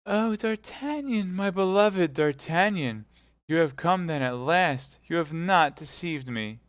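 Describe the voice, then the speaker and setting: A man's voice: on the high side, lively intonation, slow pace, no echo, voice pushed up. A man voicing a dying young woman, pleading. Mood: tender. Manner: pleading, breathless.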